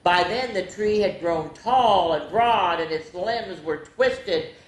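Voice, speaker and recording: A woman hosting a storytime, reading picture books aloud for young children and adding chatty asides. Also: on the low side. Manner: slow, deliberate delivery with chatty asides to listeners. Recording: echoey room with faint background noise.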